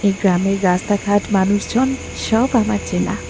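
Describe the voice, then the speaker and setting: An actress as a mysterious young village woman in a cartoon tale. She speaks politely and enigmatically at a medium pitch, with clear night-insect noise around her. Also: steady tone, normal speed, lightly acted. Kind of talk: cartoon story dialogue.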